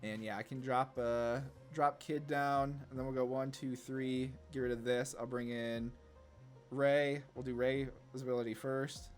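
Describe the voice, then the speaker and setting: A man's voice: mid-range voice, level tone, unhurried, faint music behind. A man giving casual, laid-back gameplay commentary on a card game, thinking aloud as he plays. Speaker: gaming content creator.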